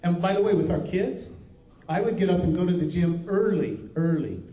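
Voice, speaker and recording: A man's voice: high voice, moderate pace. Older man preaching folksy in a roomy hall. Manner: earnest, folksy preaching with restarts. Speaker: pastor. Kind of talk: conference workshop talk. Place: conference room. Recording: dull, roomy recording from a distance.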